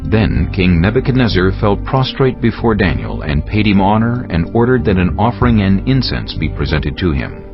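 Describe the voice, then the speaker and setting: A male narrator reads scripture dramatically in a deep voice. His pitch moves widely, with faint music behind him and a slightly dull sound. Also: very animated, moderate pace, few pauses.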